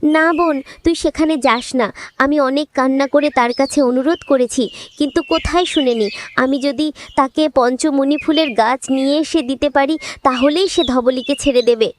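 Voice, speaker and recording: A woman's voice: sits high, normal speed, slight background noise, close to an everyday voice. A woman voicing a poor village girl in a fairy-tale cartoon, earnest, insistent and imploring. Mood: sorrowful. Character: pleading poor village girl, devoted to her cow.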